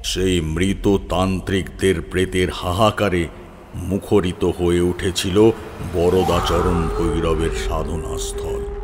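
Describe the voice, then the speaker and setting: A man playing a grave tantric holy man, recounting horror tales in a solemn, measured way with dramatic pauses. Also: deep voice. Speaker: storyteller character. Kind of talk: scripted horror storytelling, audio drama. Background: loud background music.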